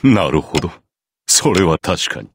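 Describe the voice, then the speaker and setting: An actor playing a coolly composed priest in an anime visual novel, explaining grim matters in a measured, formal, detached manner. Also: male voice, deep voice, very animated, creaky, a little hiss or hum, heavily acted. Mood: detached, grave. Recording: loud music under the voice.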